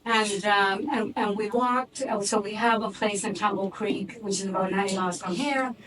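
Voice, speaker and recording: A woman's voice: medium pitch, fairly even intonation, moderate pace, crowd noise. Cuban-accented woman in her sixties, distant mic. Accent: Cuban Spanish. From Cuban-born, raised in Miami. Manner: conversational, frequent restarts and fillers. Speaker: board member and investor, guest. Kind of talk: fireside chat on stage. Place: office event space, live audience. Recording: distant mic with background noise.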